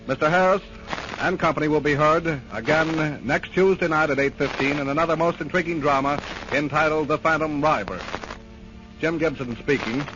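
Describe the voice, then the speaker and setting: A man announcing on radio in a formal, measured, scripted 1930s style. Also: on the high side, over music. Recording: noisy, dull 1930s radio recording.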